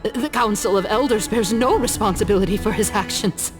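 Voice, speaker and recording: An actress playing a haughty, indignant council elder in a video game, speaking formally and defensively. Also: female voice, mid-range voice, fairly quick, natural voice. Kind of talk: video game cutscene voice acting. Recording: background music behind the voice.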